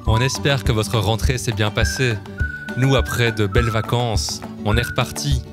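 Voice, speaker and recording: Man, co-host, scripted outro, medium pitch, loud music underneath. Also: steady tone, normal speed. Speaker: channel co-host.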